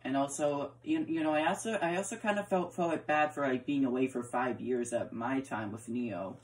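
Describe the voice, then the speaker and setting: A woman's voice: rumbling low, moderate pace, darkish tone, a little hiss or hum, poor audio. A woman chatting casually while role-playing a block-building game, often stammering and repeating her words, recorded indoors by a camera aimed at the screen. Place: indoor room, filming a TV screen.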